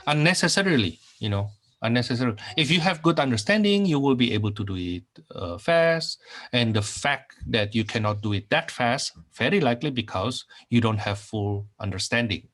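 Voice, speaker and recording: A man's voice: medium pitch, expressive, fairly quick, fairly bright tone. A middle-aged man from Indonesia teaching a math class over a screen recording, often repeating phrases and saying okay. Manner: repeats phrases, many fillers and okays. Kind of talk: recorded online math lecture.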